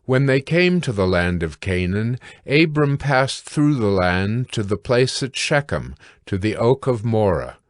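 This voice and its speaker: Low-voiced male scripture narrator. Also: sing-song, measured pace, few pauses, no room sound, clean studio sound.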